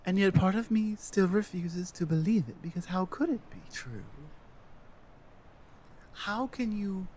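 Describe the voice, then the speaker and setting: Man acting a slow-talking, earnest fantasy companion. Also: high-pitched, lively intonation, a little mellow, a little hiss or hum. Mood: wondering, awed. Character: earnest, self-doubting Nordic guide and adventuring companion. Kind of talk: roleplay audio drama monologue.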